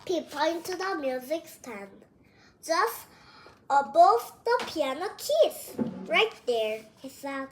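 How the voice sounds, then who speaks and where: A child reading a children's storybook aloud, halting word by word and stumbling over some of the words. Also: high-pitched, animated, slight background noise. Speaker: young reader.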